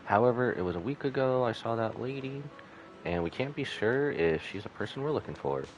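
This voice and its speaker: A man's voice: mid-range voice, animated, fairly quick, dull audio, slight background noise. A man streaming a game live, casually commenting, reading dialogue aloud and muttering asides to himself.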